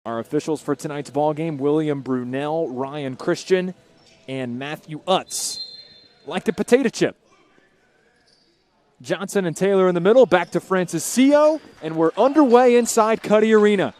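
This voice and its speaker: Male TV basketball play-by-play announcer, notably high voice, live game delivery. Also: expressive, moderate pace, darkish tone. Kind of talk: live TV sports commentary.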